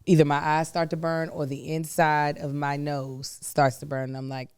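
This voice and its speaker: A woman podcast co-host talking casually with a hoarse voice, candid and exasperated. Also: low-pitched, little pitch movement, moderate pace, darkish tone, no echo. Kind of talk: casual chat.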